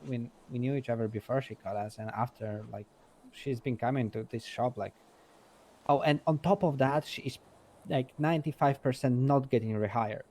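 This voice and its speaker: A man's voice: medium pitch, normal speed, warm tone, natural voice. A man playing a blunt, foul-mouthed male mechanic and shop owner, talking in a rambling, emphatic way in improvised game roleplay. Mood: blunt, dismissive. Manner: rambling, emphatic, swears freely.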